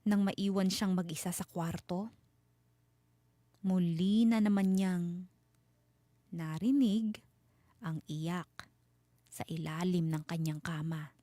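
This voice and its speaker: A woman storyteller giving a dramatic scripted horror narration with suspenseful, deliberate phrasing. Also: on the low side, warm tone, no echo.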